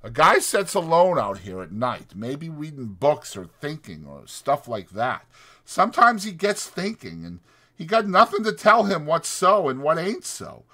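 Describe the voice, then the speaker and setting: A man performs a bitter, brooding stable hand from a dramatized audiobook, lonely and scornful. The voice sits high and swings widely, with bright, emphatic, folksy rural speech. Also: wildly swooping pitch, unhurried, heavily acted. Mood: lonely, plaintive. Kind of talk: dramatized audiobook character acting.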